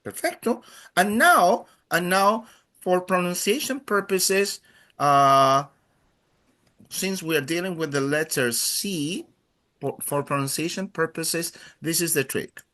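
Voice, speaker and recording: A man's voice: high voice, takes it slowly, bright, forceful tone. A man from Chile with a Chilean accent, a native Spanish speaker, teaching a language class in an encouraging, playful way with many fillers.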